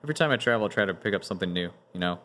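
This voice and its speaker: Lively man telling a travel story. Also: low voice, racing. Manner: animated storyteller, uses analogies. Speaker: guest. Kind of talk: casual podcast conversation.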